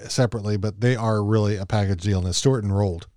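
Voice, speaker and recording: A man's voice: fairly low pitch, steady tone, fast, dark tone. Fifties male host, laid-back, keeps the conversation moving. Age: 50s. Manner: easygoing, steers the talk, laughs often. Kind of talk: casual chat about a TV show.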